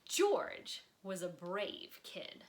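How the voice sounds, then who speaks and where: A woman reading a picture book aloud for children, expressively, with dramatic pauses and drops into breathy near-whispers for suspense. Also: lowish voice, huge pitch swings, slight background noise. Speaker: volunteer storybook reader. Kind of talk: children's read-aloud storytime narration.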